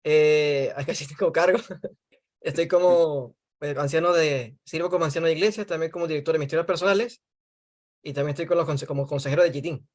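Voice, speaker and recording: Venezuelan guest, elder, friendly talker who prays fervently, slightly high voice. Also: male voice, steady tone, fairly quick. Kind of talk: casual introduction and spoken prayer.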